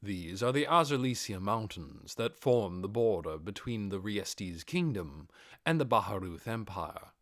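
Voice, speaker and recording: A man voicing a commanding undead overlord in an audiobook, explaining things in a measured, deliberate way. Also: lowish voice, expressive, fairly bright tone, dry recording, studio quality.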